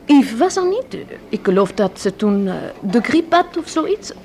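Radio play role: polite young Belgian woman, French accent, fairly high voice with animated melody. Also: unhurried, slight background noise. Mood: recounting. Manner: hesitant, courteous, peppers speech with French words. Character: polite young Belgian shop assistant turned stewardess. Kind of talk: radio drama acting.

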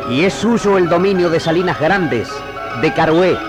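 Solemn epic storyteller, radio drama, slightly high voice, music behind. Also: male voice, lively intonation, measured pace. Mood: epic, grave. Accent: Argentine. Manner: solemn, poetic declamation. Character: the vast pampa itself, solemn epic storyteller. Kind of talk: scripted radio drama narration.

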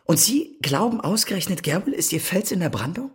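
An actor playing a confrontational, sneering police interrogator in a German-language radio crime drama. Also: male voice, sits high, very animated, brisk. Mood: sneering, accusatory. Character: hard-nosed, accusing male police interrogator.

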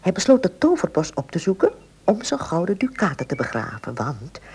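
A woman narrating a children's fairy tale as a storybook narrator, expressive and dramatic. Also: on the low side, brisk. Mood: storytelling. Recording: faint cassette tape noise.

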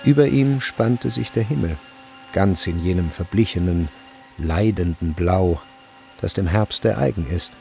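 A man narrating a literary radio play in a measured, solemn storyteller's manner. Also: low voice, creaky, a little mellow. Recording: faint background hiss.

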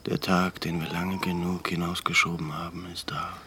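An actor playing a weary male resistance leader who speaks in a hushed, grave way, often whispering, in a radio drama. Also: low voice, level tone, moderate pace, slight background noise.

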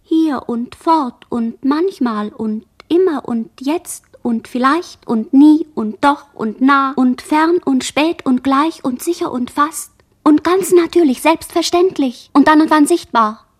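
A woman playing a dazed, barely conscious girl who survived a shipwreck, speaking halting fragments in a radio play monologue. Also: high-pitched. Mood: trance-like. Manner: fragmented, halting word chains.

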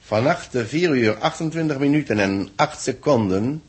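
A man playing a thoughtful chronicler of a space expedition, deliberate, with a gravelly husky voice, in a 1960s radio drama. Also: mid-range voice, lively intonation. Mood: grave narration. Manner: musing, deliberate. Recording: old 1960s radio recording.

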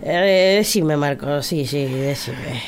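Blunt, drawling grumbling government minister. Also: female voice, sits low, slow, slight background noise. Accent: Argentine. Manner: drawling, coarse, throat-clearing, bossy. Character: blunt, grumbling, trigger-happy female minister, boozy caricature. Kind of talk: satirical radio drama acting.

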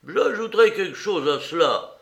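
A man's voice: on the high side, sing-song, slow pace, sharp tone, echoey room, voice pushed up, heavily acted. A man doing a hot-tempered old sailor. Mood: angry.

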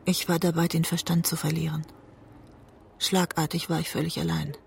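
An actress playing a haunted woman looking back on her marriage, husky and breathy, in grave, restrained audio drama narration. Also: deep voice, little pitch movement, normal speed. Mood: haunted, tense. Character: haunted woman writer recalling a destructive marriage. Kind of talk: literary first-person audio drama narration.

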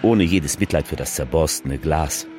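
An actor narrating a radio drama about an outlaw couple in scripted, dramatic storytelling. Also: male voice, rumbling low, normal speed, music in the background. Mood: intense storytelling. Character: male narrator recounting an outlaw couple's rampage.

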